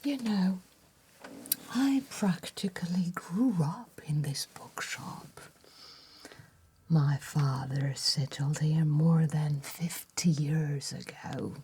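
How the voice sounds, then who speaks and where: Casting: actress as nostalgic bookshop keeper, female, breathy ASMR whisper, low and extremely unhurried, genteel. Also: a little mellow, a little hiss or hum. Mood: fond nostalgia. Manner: very slow, deliberate, genteel phrasing. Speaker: ASMR roleplay performer. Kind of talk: soft-spoken whispered ASMR roleplay.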